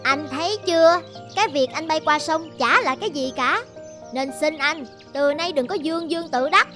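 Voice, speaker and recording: A woman voicing a feisty, chatty small female bird in a children's cartoon, sassy and expressive. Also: sits high, normal speed, music in the background, close to an everyday voice. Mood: challenging.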